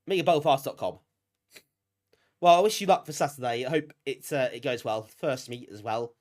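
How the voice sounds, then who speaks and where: A man's voice: medium pitch, normal speed, a little mellow. A British man with a bunged-up nose from a cold, chatting casually and self-deprecatingly to viewers as a live streamer, appreciative of them. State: bunged up, getting over a cold. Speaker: live streamer hosting a retro gaming stream.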